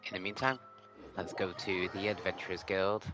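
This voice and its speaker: Deep-voiced man streaming a game. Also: moderate pace. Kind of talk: casual game livestream commentary. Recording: game music behind the voice.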